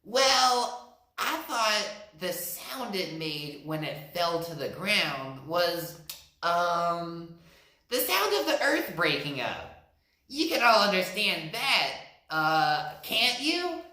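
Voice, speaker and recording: A man's voice: high voice, sing-song, slow pace, sharp tone, exaggerated voice. A man doing a timid stammering little rabbit. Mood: sheepish. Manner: nervous stammer, repeated syllables. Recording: roomy echo.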